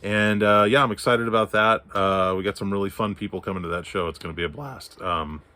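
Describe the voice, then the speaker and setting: A low-pitched man from Texas talks through his comic pickups in an easy, rambling way. His throat is scratchy from allergies, and he stops and restarts often as he goes. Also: steady tone, brisk, pushed and bright. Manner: rambling, many fillers and restarts. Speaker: comic haul vlogger. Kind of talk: casual show-and-tell chat.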